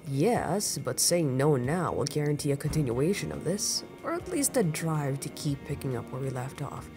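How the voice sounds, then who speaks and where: A man's voice: a little high, sing-song, moderate pace. A man playing an easygoing, teasing veteran of a crime syndicate in an audio roleplay, conversational and amused. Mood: wistful. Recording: music playing clearly behind the voice.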